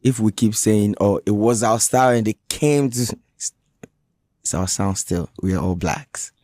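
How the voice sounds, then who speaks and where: Ghanaian-accented male artist, low and mellow, halting and defensive. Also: no echo, clean studio sound. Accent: Ghanaian English. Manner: defensive, halting, many restarts. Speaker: musician guest. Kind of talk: radio interview. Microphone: radio studio mic.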